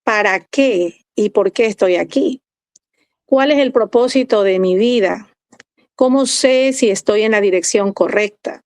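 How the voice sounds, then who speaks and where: A woman's voice: mid-range voice, unhurried, no room sound. Lady podcast host, mid voice, dry close mic, formal and a bit hesitant. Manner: formal, warm introductions, hesitant fillers. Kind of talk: podcast interview hosting.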